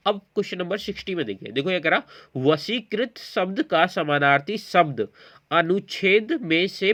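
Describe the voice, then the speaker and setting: A man's voice: sits high, fairly bright tone. A man teaching an exam-prep lesson, explaining quiz questions and often checking in with learners. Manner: explanatory, repeatedly checking in with listeners.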